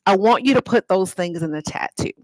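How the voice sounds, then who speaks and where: A woman's voice: medium pitch, animated, fairly quick, darkish tone, dry recording, rough recording. A woman talking informally to livestream viewers as an author and host, explaining things and inviting them to respond. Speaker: author and host of a live read-aloud.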